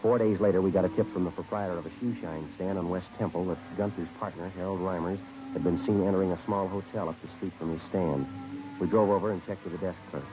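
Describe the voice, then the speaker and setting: A man acts out a terse American police detective from a 1950s radio crime drama, very low in voice and quick in delivery. He is deadpan and matter-of-fact, whether questioning or narrating the case. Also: natural voice. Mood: deadpan, factual. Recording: dull vintage radio recording with music.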